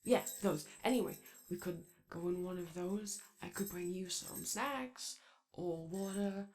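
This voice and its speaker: A woman voicing an anxious, eager and gentle robot daycare attendant in an ASMR roleplay, speaking in a hesitant, rambling way. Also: lowish voice. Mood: helpful, unsure. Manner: hesitant, rambling, halting speech. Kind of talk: improvised-sounding ASMR character roleplay.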